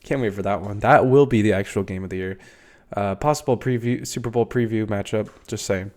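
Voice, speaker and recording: Young male podcast host, stuffy nose, lower register, loose filler-heavy sports chat. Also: fairly quick, a little mellow. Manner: rambling, filler-heavy, swears casually. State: congested. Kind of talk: casual solo sports talk.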